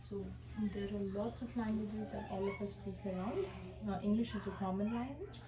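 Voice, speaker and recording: A young woman whose first language is Hindi presents her coursework in an echoey room. Her voice sits at a middle pitch, and she speaks hesitantly, filling pauses with ums and uhs. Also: noisy background. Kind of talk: class presentation.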